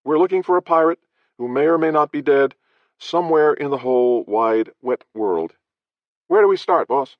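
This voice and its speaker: A man voicing a tough, sharp-tongued female mercenary in a brash, cutting tone. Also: a little high, moderate pace, no echo, pitched above the performer's own voice, close to an everyday voice. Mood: wry. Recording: dry, slightly dull audio.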